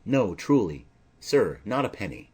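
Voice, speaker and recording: A man's voice: on the low side, sing-song, unhurried, darkish tone, slightly rough, heavily acted. A man voicing a fussy, chattering older female nurse who rambles and exclaims, flustered and indignant, in a stage tragedy audiobook. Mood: chatty, fussy.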